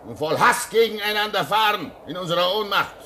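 A man's voice: high-pitched, lively intonation, a little fast, pushed and bright, a little hiss or hum. An actor in a German radio play, playing a pious, fatherly older polar researcher who is earnest, soothing and admonishing. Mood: admonishing, pleading.

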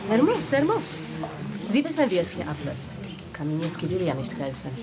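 A woman's voice: fairly low pitch, sing-song, brisk, over music. An actress playing a pushy married woman pleading with officials, urgent and formally polite yet insistent, in a German radio play. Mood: anxious. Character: pushy, pleading married female petitioner with documents. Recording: studio radio play with clear background noise.

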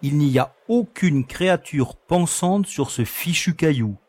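A man's voice: on the high side, animated, a little slow, a little mellow. An actor playing a blunt, skeptical male starship pilot who talks in an incredulous, casual way. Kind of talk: audio drama acting.

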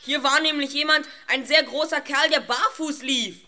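A woman's voice: high-pitched, fairly even intonation, moderate pace, bright, forceful tone. A woman playing a self-assured, analytical boy detective with precise, formal wording in a children's audio drama. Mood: investigating, intrigued.

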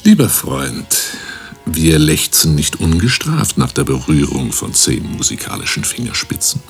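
Pompous radio-drama professor: extremely low voice, wildly swooping, grandiloquent delivery. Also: male voice, very animated, unhurried, vocal fry, soft background music, heavily acted. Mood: amused, condescending. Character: pompous, theatrical male professor, an insistent music-obsessed therapist. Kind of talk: German radio play acting.

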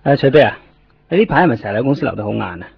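A man's voice: mid-range voice, expressive, racing, creaky. A man playing a fussy, penny-pinching office manager in a radio drama, nagging with repeated questions. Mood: brisk, probing. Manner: nagging, repetitive rhetorical questions. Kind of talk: Cantonese radio drama acting. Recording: muffled lo-fi radio recording, faint music.

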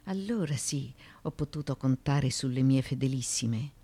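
A woman acting a proud, desperate ancient Greek woman in a theatrical radio drama monologue, speaking with deliberate intensity and questioning herself. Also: extremely low pitch, animated, warm tone, full character voice. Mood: anxious, bitter.

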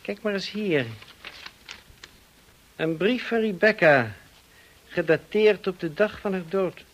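Smug blackmailer, higher-pitched voice, insinuating, mocking drawl, false politeness, radio drama. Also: male voice, slow pace. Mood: mocking, threatening. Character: smug, insinuating male blackmailer, cousin of the dead woman. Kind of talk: radio play acting.

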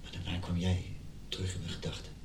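An actor playing an injured husband in a radio drama, earnestly and emotionally pleading for reconciliation. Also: male voice, monotone, fast talker, close to an everyday voice. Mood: confessional, tender. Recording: roomy sound with background rumble.

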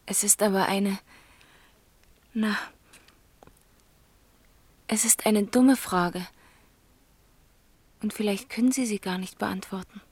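Eine Schauspielerin spielt im Hörspiel eine verletzte, trotzige Tochter im Teenageralter, offen und stockend, oft leise werdend. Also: female voice, medium pitch, slow pace, pauses often, darkish tone, slightly rough, no room sound. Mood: hesitant. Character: wounded, defiant teenage daughter, frank and vulnerable. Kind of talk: naturalistic German radio drama dialogue.

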